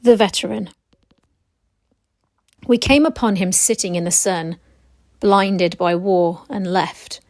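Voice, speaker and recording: A woman reciting war poetry in a measured, storytelling manner. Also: mid-range voice, darkish tone, no room sound, studio quality.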